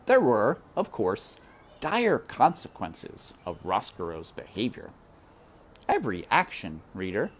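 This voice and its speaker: A man reading a children's novel aloud, expressive and addressing the listener directly. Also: medium pitch, slightly rough. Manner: expressive, speaks to the reader directly. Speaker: reader. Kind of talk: children's book read-aloud.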